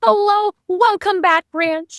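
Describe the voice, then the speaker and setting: A woman's voice: very high-pitched, steady tone, slow pace, dry recording, clean studio sound. A woman hosting a podcast, introducing and interviewing guests in an upbeat presenter's style. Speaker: podcast host.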